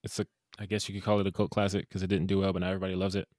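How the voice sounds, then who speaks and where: A man co-hosting a casual movie review podcast, animated and jokey with quick asides. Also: low voice, dry recording, studio quality. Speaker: podcast co-host.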